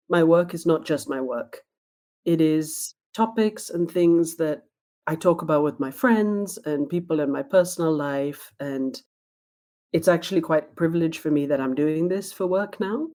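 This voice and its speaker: Low, mellow-voiced woman guest. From born in Sweden, raised in Spain. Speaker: guest, diversity trainer. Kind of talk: podcast interview conversation.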